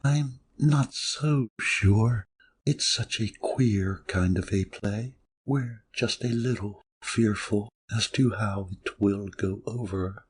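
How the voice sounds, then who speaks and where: A man playing a passionate, defiant actress in a dramatic audiobook reading, with slow, melodramatic, drawn-out emphasis. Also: fairly low pitch, slightly rough. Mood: subdued, strained. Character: passionate, defiant stage actress, devoted to her lover.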